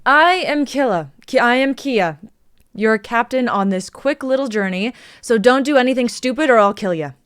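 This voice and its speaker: A woman's voice: a little high, expressive, normal speed, fairly bright tone, clean tone, no room sound, studio quality. A woman reading the part of a tough, threatening female ship captain in a live dramatic reading, commanding and mocking.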